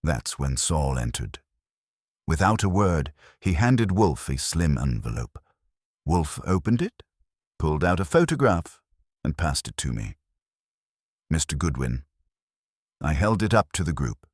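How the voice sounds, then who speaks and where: Man, extremely deep voice, swooping intonation, theatrical noir narrator, scripted mystery story. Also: big pitch swings, a little slow, slightly rough, no room sound, studio quality. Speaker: audiobook narrator.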